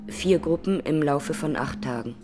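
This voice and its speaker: An actress playing an Armenian woman in her twenties, a massacre survivor giving measured, sober testimony in a radio drama. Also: low voice, music in the background, close to an everyday voice. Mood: grave, sober. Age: 20s.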